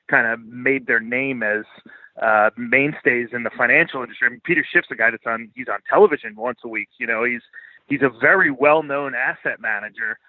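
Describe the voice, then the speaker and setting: A man's voice: medium pitch, no echo. Blunt American man on a phone-like line. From Philadelphia, United States. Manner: blunt, profane, self-deprecating. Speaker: podcaster, interview guest. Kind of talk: casual unscripted interview chat.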